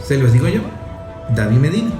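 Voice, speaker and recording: A man's voice: mid-range voice, sing-song, brisk. A man with a Venezuelan accent, a journalist host giving political opinion commentary in emphatic, repetitive rhetorical phrasing. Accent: Venezuelan Spanish. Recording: roomy echo, faint music underneath.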